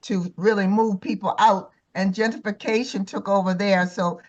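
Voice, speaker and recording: A woman's voice: mid-range voice, steady tone, moderate pace, muffled recording. A woman talking conversationally in an informal group discussion, putting questions to the others. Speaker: church discussion participant.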